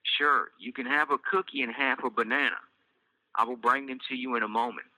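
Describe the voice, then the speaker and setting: Man doing a patient, gently stern mom. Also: medium pitch, fairly even intonation, no echo, poor audio. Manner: soft, measured, motherly.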